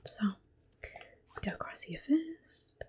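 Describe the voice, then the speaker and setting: Whispering woman doing ASMR game commentary. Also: slow. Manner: hushed, gentle. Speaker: ASMR gaming host. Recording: soft music in the background. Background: quiet game music.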